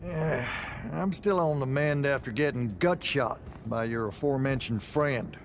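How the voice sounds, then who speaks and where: A man's voice: mid-range voice, unhurried, slight background noise, close to an everyday voice. An actor playing a shrewd, salty veteran male mage who talks in wry, folksy slang in game cutscene style. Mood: wry, matter-of-fact. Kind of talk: video game cutscene acting.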